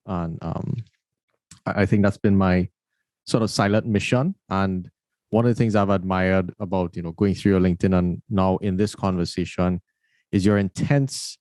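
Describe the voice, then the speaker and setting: A man's voice: low voice, steady tone, moderate pace, a little mellow, no echo. A man hosting an interview, talking thoughtfully and telling stories, often repeating words as he starts his sentences. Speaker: podcast host. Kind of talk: casual interview conversation.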